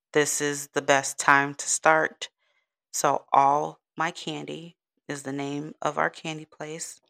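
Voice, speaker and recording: Woman, 30s, gravelly low voice, soothing, casual podcast host. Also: fairly bright tone. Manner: rambling and giggly. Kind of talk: casual solo podcast chat.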